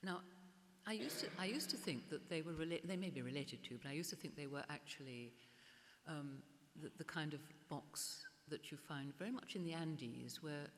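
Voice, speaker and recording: An older woman art historian speaking at a public gallery conversation, halting and often restarting her words. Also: low-pitched, a bit bright. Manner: hesitant, restarts and repeats words. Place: gallery event space before an audience. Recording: echoey hall, faint hiss.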